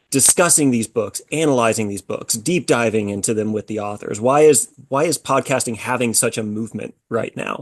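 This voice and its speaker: A man moderating a virtual panel, asking questions in a friendly way and laughing between them. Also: medium pitch. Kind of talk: casual panel discussion.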